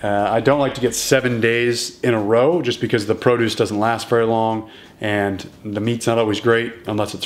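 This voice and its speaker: A man in his thirties casually walking through home meals in his kitchen, relaxed and matter-of-fact. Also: medium pitch. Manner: relaxed and matter-of-fact, plain step-by-step talk. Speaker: strength coach cooking at home. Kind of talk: casual cooking walkthrough.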